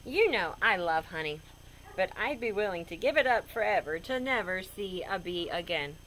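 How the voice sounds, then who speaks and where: A stubborn, melodramatic worrier from a children's storybook, as a woman reader puts it on. The delivery is grudging and dramatic, with lively swings of pitch. The voice is bright, over faint background noise. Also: normal speed, close to an everyday voice, low-quality recording. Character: bee-fearing, stubborn, melodramatic storybook character.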